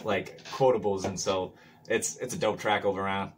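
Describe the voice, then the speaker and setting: A young man in his twenties with a Boston accent, excitedly breaking down a rap song in a casual living-room chat. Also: low-pitched, a little hiss or hum. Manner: excited, quick running commentary. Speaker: rapper reacting to music.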